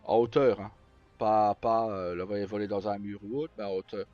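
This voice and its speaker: A man live streaming, casually telling roleplay stories in a rambling, halting way with pauses mid-sentence. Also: lowish voice, fairly even intonation. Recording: slightly muffled, roomy, faint music. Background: background music.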